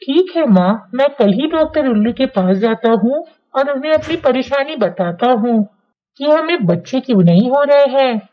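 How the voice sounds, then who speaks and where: A woman plays an obedient grown crow son in a cartoon moral tale. The voice is high, polite and earnest, with a muffled lo-fi sound. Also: animated, moderate pace. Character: dutiful adult male crow son, plain-spoken and obedient. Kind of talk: Hindi cartoon moral story dialogue. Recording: dull, lo-fi sound.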